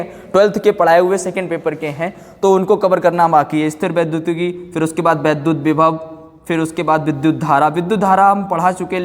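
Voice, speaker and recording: Casting: male online tutor, high voice, explanatory delivery sprinkled with English terms, long echoing reverb. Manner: explanatory, repeats check-words and phrases. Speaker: physics teacher. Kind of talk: online exam-prep class talk.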